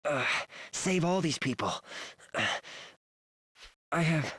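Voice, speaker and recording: A woman's voice: low voice, animated, slow pace, breathy edge, dry recording, heavily acted. An actress playing a bold, loyal and determined young female soldier in a video game, speaking with plucky, heartfelt conviction. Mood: strained, weakening. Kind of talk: dramatic video game voice acting.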